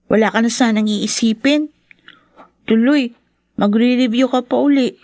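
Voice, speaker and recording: Radio drama friend role: young Filipino female, high voice, caring and inquisitive, dry sound. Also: a little slow, darkish tone, no room sound. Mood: concerned, curious.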